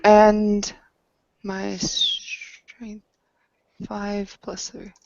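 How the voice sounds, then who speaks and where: A woman chats casually while playing a tabletop game. Her voice sits at an average pitch, and the recording is a little dull and lo-fi. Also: monotone, drawn-out words, gravelly, no echo, faint music behind. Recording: muffled lo-fi voice recording.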